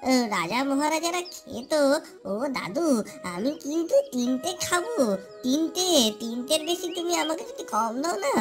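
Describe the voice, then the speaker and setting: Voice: sits high, sing-song, music in the background. A performer voicing a young grandchild in a cartoon, eager, whiny and childishly pleading. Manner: slow, drawn-out childish pleading. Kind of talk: cartoon voice acting.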